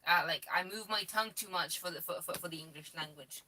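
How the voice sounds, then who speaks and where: A woman's voice: on the low side, fast, bright, forceful tone, recorded from a distance, slight background noise, low-quality recording. A young woman from Bulgaria with a Bulgarian accent, chatting on a live stream with many restarts and laughs. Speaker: co-host. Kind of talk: casual live stream chat.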